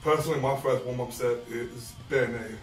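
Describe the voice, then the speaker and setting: A man's voice: mid-range voice, steady tone, normal speed. A man in his thirties giving casual, conversational coaching advice, explaining things step by step. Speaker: co-host, powerlifting coach. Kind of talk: casual instructional chat. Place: small bare-walled room indoors. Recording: roomy echo, distant mic, faint music.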